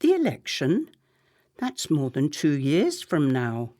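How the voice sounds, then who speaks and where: A woman acting a discreet, ambitious campaign manager in a radio play, controlled, deferential and deliberate. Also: deep voice, wildly swooping pitch, mellow, heavily acted. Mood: guarded.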